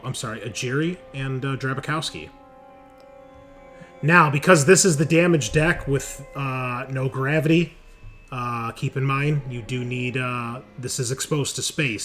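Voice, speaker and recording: A man runs a tabletop roleplaying game as game master. He speaks casually in a mid-range voice, explaining and narrating for the players. Soft music sits behind him. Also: mid-range voice. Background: background music.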